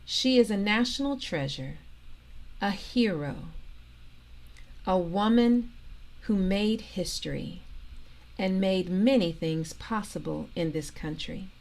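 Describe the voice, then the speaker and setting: A woman reading a children's biography aloud as a storytime host, in deliberate short phrases with expressive delivery. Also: mid-range voice, gravelly, poor audio. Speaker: storytime reader and host, organisation president.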